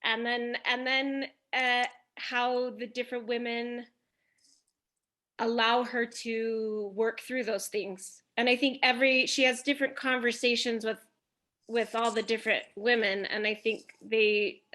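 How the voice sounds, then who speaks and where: A woman, an actress, talking casually and haltingly, in a tentative way, on a panel. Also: fairly high pitch, little pitch movement, bright, forceful tone. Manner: halting, tentative, seeks confirmation. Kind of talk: casual panel talk about a role.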